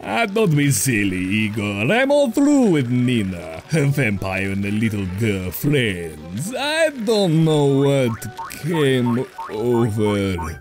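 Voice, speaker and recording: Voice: mid-range voice, huge pitch swings, slow pace, hardly any pauses, a little mellow, faint music behind. A performer voicing a flamboyant, evasive vampire count in a theatrical cartoon dub. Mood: dismissive. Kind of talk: cartoon fan dub acting.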